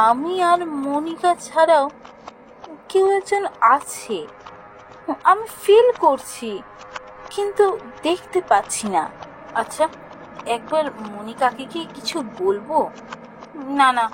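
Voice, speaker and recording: Anxious young office girl, voiced by a woman, narrating a cartoon haunting: high, swooping, dramatic, light music underneath. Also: poor audio. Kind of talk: first-person cartoon ghost story narration.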